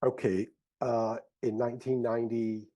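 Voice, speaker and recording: An American man speaking as an interview guest, a professor recounting things in a halting, casual way. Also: mid-range voice, flat delivery, dark tone. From United States.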